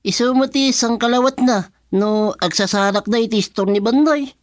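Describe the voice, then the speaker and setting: A woman's voice: fairly high pitch, moderate pace, dry recording, studio quality, lightly acted. A woman in an Ilocano radio comedy drama playing a teasing, gossipy woman at a village office.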